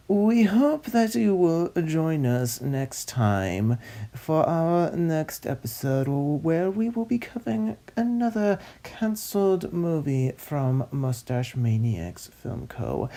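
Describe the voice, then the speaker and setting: Guy doing a snooty fussy narrator, slow and dark toned, pompous storytelling. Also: mid-range voice, gravelly, exaggerated voice. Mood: snobbish. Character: snobby, fussy male narrator, a pompous connoisseur. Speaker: narrator host. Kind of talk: scripted narration of an old film script.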